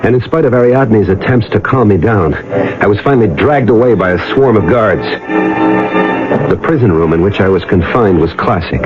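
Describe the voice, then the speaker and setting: A man's voice: on the low side, natural voice. An actor playing an earnest, stubborn diver in a radio drama, telling his story with dramatic intensity. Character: earnest, stubborn male diver recounting his undersea ordeal. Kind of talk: dramatic first-person narration and radio acting. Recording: dull vintage radio recording, loud music underneath.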